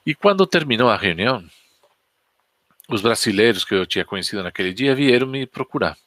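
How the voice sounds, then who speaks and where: A man's voice: mid-range voice, lively intonation, brisk, a bit bright, no room sound. Spanish-accented man telling a story. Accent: Spanish from Spain. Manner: chatty, anecdotal, asks the audience questions. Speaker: Spanish teacher. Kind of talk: casual storytelling lesson on a live stream.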